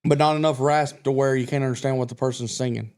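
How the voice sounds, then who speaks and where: A man's voice: mid-range voice, steady tone, fast talker, a little mellow. A man from Kentucky, a guest country singer, chatting on a podcast in a confident, rambling way with many restarts. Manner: self-assured, rambling with restarts. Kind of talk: casual podcast chat.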